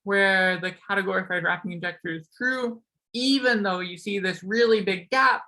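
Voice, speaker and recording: A man's voice: high-pitched, moderate pace, pushed and bright, low-quality recording. A young man with a slightly sore voice giving a casual math seminar talk, full of ums and likes. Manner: casual, many ums and likes, self-correcting. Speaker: seminar speaker.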